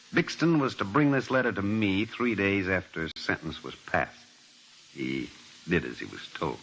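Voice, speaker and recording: An actor playing a fatherly, sober older prison warden in an old radio drama, explaining things gravely. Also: fairly low pitch, fairly bright tone, full character voice. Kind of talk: mid-century radio drama acting. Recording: noisy old radio broadcast.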